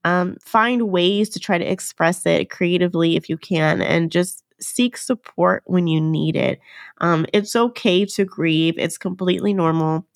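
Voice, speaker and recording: Female podcast host, lowish gravelly voice, nasal from a cold, earnest and gentle, dry close mic. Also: measured pace, no echo. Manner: gentle, earnest, many fillers. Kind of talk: casual solo podcast talk.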